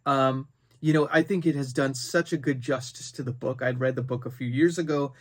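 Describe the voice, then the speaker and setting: Male book vlogger, mid-pitched voice, conversational and unscripted, thinks out loud while addressing viewers. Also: fairly even intonation, brisk. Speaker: book review video host. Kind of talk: casual unscripted book chat to camera.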